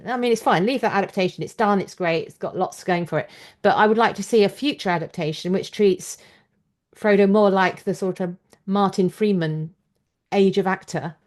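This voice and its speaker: A woman hosting a podcast interview, offering her opinions in an articulate, reflective, conversational way. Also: mid-range voice. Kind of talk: conversational discussion, opinionated commentary.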